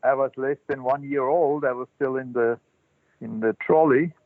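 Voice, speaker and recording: A man's voice: mid-range voice, fairly even intonation, dry recording. Older man over a tinny line. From Switzerland. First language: German. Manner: halting, thoughtful, many restarts and fillers. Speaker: interview guest, Zen teacher. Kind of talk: casual life-story interview. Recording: dry, tinny phone-line sound.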